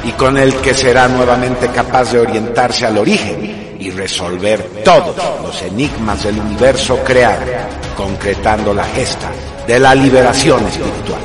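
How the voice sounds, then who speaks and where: A man's voice: mid-range voice, normal speed. A man narrating a radio drama from a script in a grave, declamatory manner. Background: prominent music.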